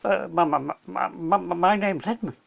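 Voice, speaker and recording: A man's voice: sits high, normal speed, gravelly, fairly bright tone, dull audio, pitched above the performer's own voice, exaggerated voice. A man voicing a nervous, sulky young boy in a storybook reading. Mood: timid.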